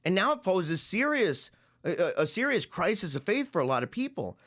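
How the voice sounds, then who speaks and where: A man in his forties, a podcast host musing on a book in rambling solo commentary, often halting and repeating phrases as he thinks aloud. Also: high voice, sing-song, fairly bright tone. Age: 40s.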